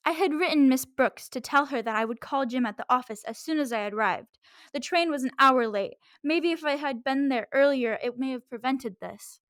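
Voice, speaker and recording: A woman acts a polite lady visitor in a radio mystery. Her voice sits notably high and is delivered in a proper, theatrical manner. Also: normal speed, fairly bright tone. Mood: anxious, helpful. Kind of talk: amateur radio drama acting.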